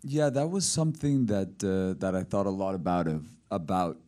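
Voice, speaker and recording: A man's voice: low-pitched, moderate pace, mellow. A man from Colombia, a film director, answering questions at a screening and repeating words as he thinks. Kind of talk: post-screening Q and A.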